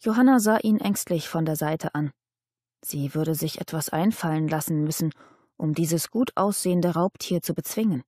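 Female narrator for a novel, slightly low register, scripted literary read, no room echo. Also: normal speed, dark tone, clean studio sound. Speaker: audiobook narrator.

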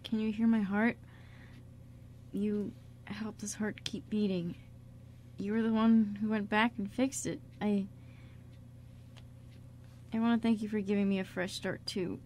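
A woman's voice: mid-range voice, little pitch movement, measured pace, pauses often, a little mellow, slight background noise, lightly acted. A woman playing an anxious, tender man in an amateur radio drama, with hesitant, stammering speech. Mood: tender, grateful. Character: anxious, guilt-ridden, tender male friend.

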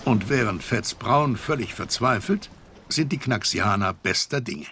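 A man's voice: mid-range voice, animated, unhurried, bright, forceful tone, breathy edge. A man narrating a children's audio drama as a scripted storyteller.

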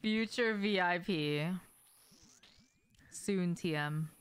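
Young woman, lowish pitch, game streamer, casual chat, slangy short phrases, indoor home setup. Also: extremely slow, clear, resonant tone. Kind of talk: casual livestream chat while gaming. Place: small indoor room at home.

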